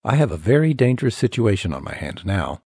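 A man's voice: fairly low pitch, big pitch swings, fast talker, mellow, slightly rough, no echo. A man narrating his own tree-cutting video in a scripted voice-over, with dry folksy humor.

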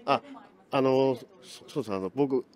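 A middle-aged film director answering interview questions, speaking quickly with many fillers. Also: male voice, mid-range voice, a little mellow, smooth.

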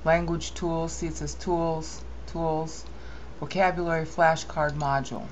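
A woman with a low, dark voice talks through a screen-recorded software tutorial in a rambling, opinionated way, stopping to correct herself as she goes. The sound is muffled and lo-fi, with a faint hiss underneath. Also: flat delivery, a little slow.

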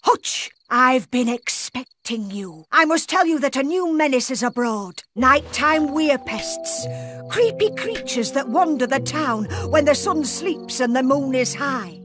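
A woman playing a mysterious, all-knowing helper in an animated video game, speaking in an ominous, portentous way. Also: high voice, soft background music. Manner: portentous, deliberate.

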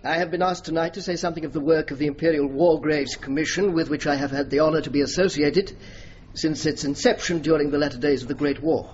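Dignified middle-aged major general played by an actor. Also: male voice, a little high, fairly even intonation, fast, darkish tone, close to an everyday voice. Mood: formal, grave. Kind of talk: formal speech and reminiscence in radio drama. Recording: dull, faintly noisy radio recording.

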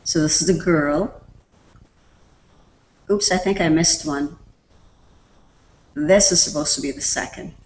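Female tutorial presenter, low voice, easygoing step-by-step delivery, light background hiss. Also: slow pace, long pauses, low-quality recording. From Canada. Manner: relaxed, step-by-step explaining with fillers. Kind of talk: screen-recorded software tutorial.

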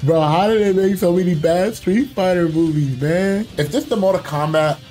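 A man's voice: high-pitched, moderate pace, faint music behind. A young man chatting casually like a streamer in a room, blunt and slangy in his commentary. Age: young adult. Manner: slangy, animated, blunt, says bro often. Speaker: guest commentator ranking movies.

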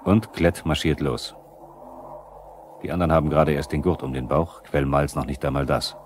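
A man's voice: very deep voice, steady tone, normal speed. A man acting as a sober, probing narrator in a radio play, on a noisy 1970s recording. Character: sober probing male narrator who challenges the workers. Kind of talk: scripted radio play narration, questioning the characters.

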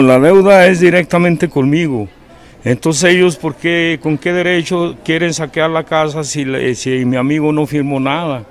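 An older man giving halting, pleading testimony in a street interview into a reporter's handheld microphone. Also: a little high, clean tone. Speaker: interviewed bank client. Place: outdoors by a building.

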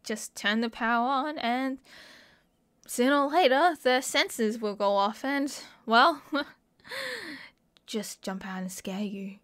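A young woman with an Australian accent playing an excitable, mischievous college friend in a casual audio roleplay. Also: fairly high pitch, slow pace, pauses often, heavily acted. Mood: enthusiastic. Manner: playful, giggly, slangy. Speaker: roleplay voice performer. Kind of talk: ASMR roleplay acting, casual chat to a friend.

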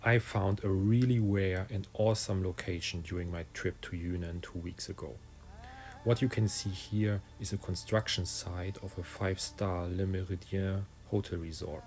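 Very low, creaky-voiced man narrating. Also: fairly even intonation, moderate pace, darkish tone. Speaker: drone vlogger narrating own video. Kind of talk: scripted voice-over narration. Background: faint music.

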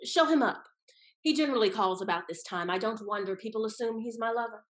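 A young woman acting a scheming, haughty high-society lady in a period stage comedy, with arch, theatrical emphasis. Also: quick pace, close to an everyday voice. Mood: scheming, composed. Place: indoor room, performing on video call. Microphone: video call.

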